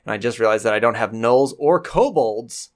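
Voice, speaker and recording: A man's voice: mid-range voice, animated, normal speed. A young man hosting a podcast, chatting casually and enthusiastically, rambling with lots of fillers. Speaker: podcast host and game master. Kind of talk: casual chat.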